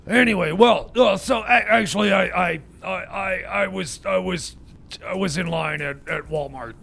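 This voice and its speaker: A man's voice: high voice, creaky, fairly bright tone, heavily acted. A man playing a blustering, cranky male time machine mechanic who stammers and stalls constantly in an improvised comedy radio bit. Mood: rambling. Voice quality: stammering, halting. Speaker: recurring comic character.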